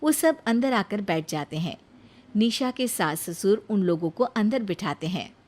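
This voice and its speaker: A woman's voice: mid-range voice, expressive, measured pace, darkish tone. A woman narrating a scripted moral story as a storyteller.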